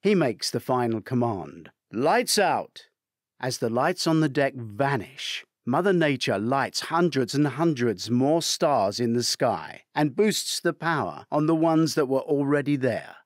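A man reading a crime thriller aloud as scripted narration, measured and theatrical, lifting his voice for quoted commands. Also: medium pitch, lively intonation, no echo, clean studio sound. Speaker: audiobook narrator.

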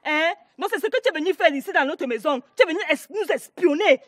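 A woman's voice: very high voice, animated, quick pace, no echo, studio quality. A woman with a Cameroonian accent playing an indignant, accusing young sister in a family drama.

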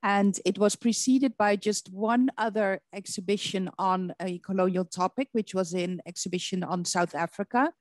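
A middle-aged woman with a creaky voice explaining thoughtfully and haltingly as a museum curator on a video-call panel. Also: medium pitch, no room sound, clean studio sound. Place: indoor room on a video call. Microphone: computer video call.

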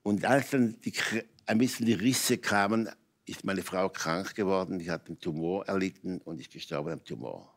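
Older man, Swiss German accent, lowish pitch, emphatic, halting repeated phrases, candid talk show guest. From Switzerland. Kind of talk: candid personal storytelling in conversation. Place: TV talk show studio with audience.

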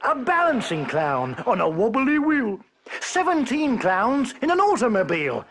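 A man's voice: very high-pitched, wildly swooping pitch, a little slow, fairly bright tone, slight background noise. A man reading a playful children's poem in a bouncy, sing-song way with chuckles. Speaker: poem reader.

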